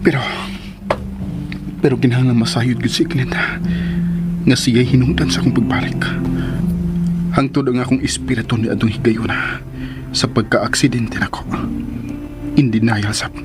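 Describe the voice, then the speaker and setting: An actor with a Filipino accent playing a tormented man haunted by a secret, in halting, hushed radio drama monologue. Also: mid-range voice, fairly even intonation, natural voice. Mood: brooding, troubled. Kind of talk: Philippine radio drama acting. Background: dramatic background music.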